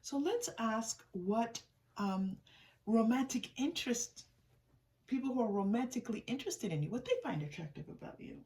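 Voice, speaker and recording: A woman's voice: fairly high pitch, lively intonation, moderate pace, darkish tone. A woman giving a casual, improvised tarot reading, speaking directly to the listener in an encouraging, conversational way. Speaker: tarot reader hosting a reading. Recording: distant mic with faint noise.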